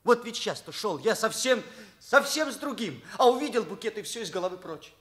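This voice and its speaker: An actor playing an earnest, lovesick teenage schoolboy who confides openly, in a Soviet radio drama. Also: male voice, sits high, lively intonation, fairly quick, bright, forceful tone, slightly rough, exaggerated voice. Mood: anguished, confiding.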